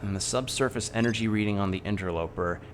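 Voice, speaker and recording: A man with a fairly low voice talks through his game in an unhurried, soft-spoken way. He muses aloud and hums while he works things out, with faint background noise under him. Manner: thinking aloud, musing with hums. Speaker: streamer. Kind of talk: casual gameplay commentary.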